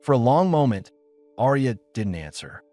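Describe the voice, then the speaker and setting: A man's voice: medium pitch, sing-song, normal speed, warm tone, no echo. A man narrating a scripted story as an audiobook, with music behind him.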